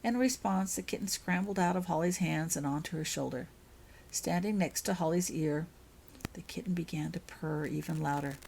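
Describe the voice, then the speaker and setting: A woman reading her own fiction aloud as an expressive storyteller. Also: lowish voice, moderate pace, vocal fry, slight background noise. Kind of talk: audiobook-style narration of fan fiction.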